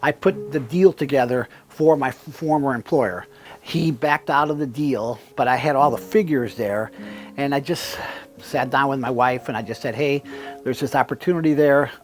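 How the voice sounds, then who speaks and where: A middle-aged man from Cuba, a business owner telling his story in a relaxed, rambling way. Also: mid-range voice, normal speed, soft background music. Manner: relaxed, many fillers and restarts. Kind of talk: conversational storytelling.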